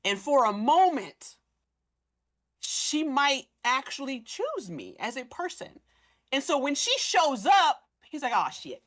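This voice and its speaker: A woman's voice: high-pitched, very animated, takes it slowly, fairly bright tone, a little hiss or hum. A woman in a casual discussion of a story, explaining at length in slangy, rambling speech and voicing characters' thoughts.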